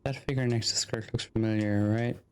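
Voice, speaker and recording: A man's voice: lowish voice, fairly even intonation, brisk, smooth. A man streaming a video game, reading dialogue aloud and reacting to it.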